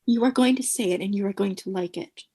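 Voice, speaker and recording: An actress playing a bossy, ominous sitcom roommate with theatrical, emphatic delivery in a comedic radio play. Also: female voice, medium pitch, fast, warm tone, breathy edge.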